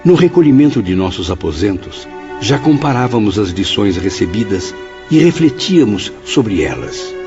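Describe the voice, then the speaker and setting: An actor playing a melancholy, courteous writer spirit in an audio drama, speaking in a formal, earnest, literary way. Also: male voice, lowish voice, big pitch swings, moderate pace. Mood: reflective. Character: Camilo, melancholy, courteous convalescent writer spirit, male narrator. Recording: dull audio with music behind.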